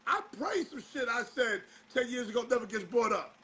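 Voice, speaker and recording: Man, high-pitched, sharp bright tone, slightly hoarse, casual host commentary, questioning and exasperated. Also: lively intonation, moderate pace, slight background noise. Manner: fires rhetorical questions, exasperated. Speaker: show host. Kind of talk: casual talk-show commentary.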